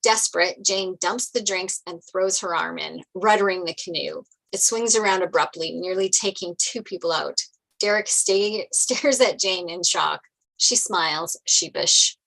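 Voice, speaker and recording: Bright-voiced woman reading stage directions. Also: lowish voice, unhurried, vocal fry, poor audio. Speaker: narrator reading stage directions at a script table read. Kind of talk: scripted narration, read aloud.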